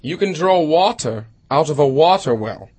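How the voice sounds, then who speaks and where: A woman's voice: low-pitched, animated, moderate pace, warm tone, slightly rough, voice pushed down. A woman voicing a rude, brusque, needling male Hatter in an acted storybook style. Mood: needling, smug.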